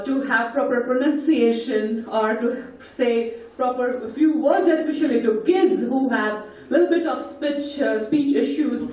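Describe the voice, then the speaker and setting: A woman with an Indian English accent and a husky voice, leading a group through breathing and sound exercises and counting the steps aloud. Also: on the high side, a little mellow. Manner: instructive, counts aloud and guides the group step by step. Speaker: voice and breathing workshop leader. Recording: echoey hall, distant, dull mic.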